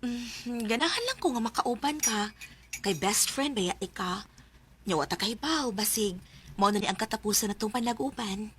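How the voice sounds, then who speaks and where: Clingy, loving young female friend, radio drama; high pitch, slight background noise. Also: animated, normal speed, fairly bright tone. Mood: wistful, tender. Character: affectionate young mother, eager, clingy best friend. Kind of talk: Bisaya radio drama acting.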